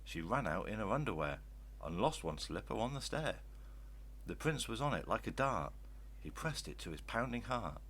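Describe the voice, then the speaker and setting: An older man reading rhyming children's stories aloud in an expressive, theatrical style. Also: on the low side, normal speed, slight background noise. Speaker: children's story reader.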